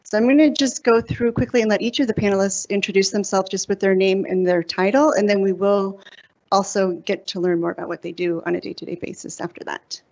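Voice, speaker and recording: A woman's voice: mid-range voice, fairly even intonation, a little fast, a little mellow. Woman moderator explaining the plan. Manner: organized, explains the plan step by step. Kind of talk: moderating a panel. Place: hotel conference room with an audience. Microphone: handheld microphone. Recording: dull lo-fi sound.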